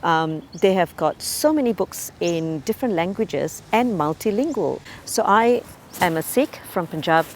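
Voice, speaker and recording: Earnest woman, lower voice. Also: animated, slight background noise. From Malaysia. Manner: measured and earnest, with frequent um and uh fillers. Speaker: community cultural ambassador. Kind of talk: community profile interview.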